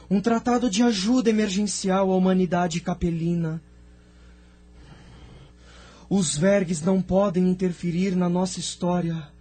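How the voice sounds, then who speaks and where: A male actor as a solemn medium in trance, high and soft-toned for a man. He recounts visions slowly and deliberately, as in a spiritual radio play, over faint music. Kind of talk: spiritist audio drama narration. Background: background music.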